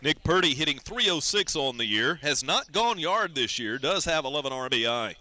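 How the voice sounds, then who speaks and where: An American man calling a baseball game as a radio play-by-play announcer, in a measured, descriptive way. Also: on the high side, lively intonation, sharp tone. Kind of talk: live sports radio commentary.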